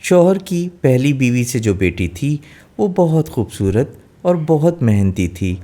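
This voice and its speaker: मद्धम, नरम आवाज़ वाला कहानीकार आदमी. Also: male voice, mid-range voice, big pitch swings, measured pace, warm tone. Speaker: storyteller. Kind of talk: scripted fairy tale narration.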